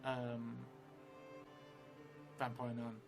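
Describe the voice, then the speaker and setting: A middle-aged man thinking aloud as he improvises a story for a solo role-playing game, halting and musing. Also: medium pitch, monotone. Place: small indoor room at a table. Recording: music behind the voice.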